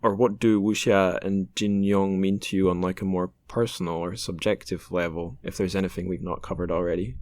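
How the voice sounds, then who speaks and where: A young man from Britain with a low voice, recorded close and dry. He hosts a podcast in a casual, chatty style, feeling his way through his thoughts as he speaks. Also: steady tone, brisk, few pauses, darkish tone, dry recording. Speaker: podcast host. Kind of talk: casual interview chat.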